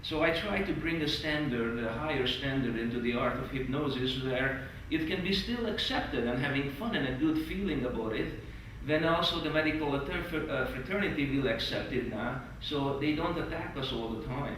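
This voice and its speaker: A man's voice: medium pitch, normal speed, hardly any pauses, slight background noise. Hungarian-accented man in an echoey hotel hall. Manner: earnest, non-native phrasing, lively emphasis. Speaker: stage hypnosis instructor. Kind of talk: teaching a course to a group. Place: large hotel meeting room. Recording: echoey hall recorded from far off.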